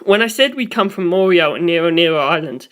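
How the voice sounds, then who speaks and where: A man's voice: a little high, animated, brisk, a bit bright. A man reading scripted first-person story narration.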